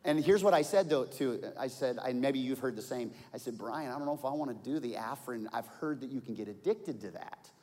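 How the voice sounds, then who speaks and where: A pastor in his forties preaching a sermon, telling stories and swinging from quiet asides to loud outbursts. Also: mid-range voice, lively intonation, a little fast, few pauses. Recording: long hall reverb.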